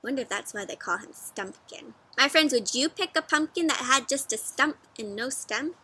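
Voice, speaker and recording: A woman doing an interactive storybook read-aloud for young children, animated and teacherly, often prompting her listeners with questions. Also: sits high, normal speed, husky.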